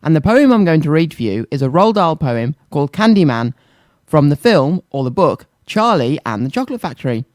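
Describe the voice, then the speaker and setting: A man's voice: a little high, big pitch swings, a little fast, no room sound. Dry, close-miked male radio presenter. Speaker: children's radio show host.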